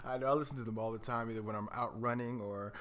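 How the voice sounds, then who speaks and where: A man's voice: medium pitch, fairly even intonation, fast talker, fairly bright tone. A man hosting a podcast in a casual, chatty, conversational way. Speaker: podcast host.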